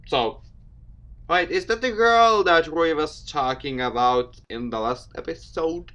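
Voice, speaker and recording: A man's voice: fairly high pitch, unhurried, bright, forceful tone. A man hosting a gaming video, reading a visual novel aloud with theatrical flair and casual asides, stumbling over words. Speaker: video game let's player, host.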